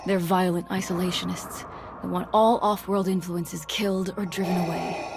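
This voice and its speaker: An actress playing a grave, gracious senator, speaking formally and in a measured, earnest way. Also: female voice, mid-range voice, creaky, natural voice. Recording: game music behind the voice.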